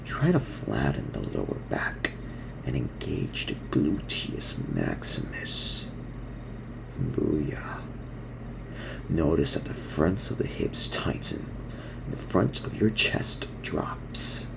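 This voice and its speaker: A man voicing a laid-back gorilla surfer dude who teaches in slang, in a gravelly, breathy half-whisper for an ASMR parody. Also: very deep voice, huge pitch swings, takes it slowly, background noise, full character voice. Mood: soothing, guiding. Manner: surfer slang, soothing instructional delivery.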